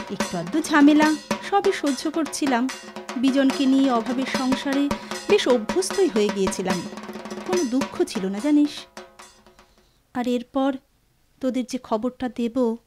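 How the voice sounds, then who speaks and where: An actress in a Bengali audio drama playing a careworn, resigned mother, with an earnest dramatic delivery. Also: high-pitched. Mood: sorrowful. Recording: studio drama with music and drums behind.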